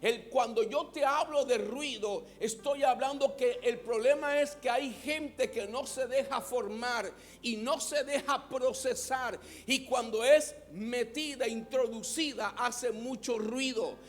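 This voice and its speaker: A man's voice: very high voice, slow pace, hardly any pauses, faint music behind. A man with a Mexican Spanish accent, preaching a sermon as pastor and having the congregation repeat words after him.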